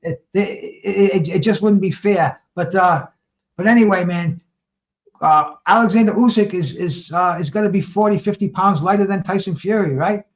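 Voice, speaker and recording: A man's voice: high voice, moderate pace, dull audio. A man hosting a boxing chat in a casual way, often repeating words as he starts a sentence. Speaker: show host.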